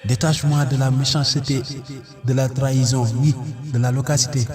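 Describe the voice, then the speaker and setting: A man reciting devotional poetry as a solemn, pleading prayer, declaiming it in measured short phrases. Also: medium pitch, flat delivery, darkish tone, soft background music. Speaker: poet reciting prayer poems.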